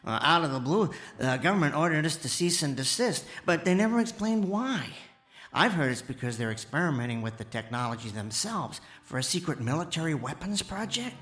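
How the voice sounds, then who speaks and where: A man playing an excitable, kindly amateur inventor in a radio drama, stammering and folksy. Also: a little high. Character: excitable, kindly amateur inventor, male former teleportation engineer.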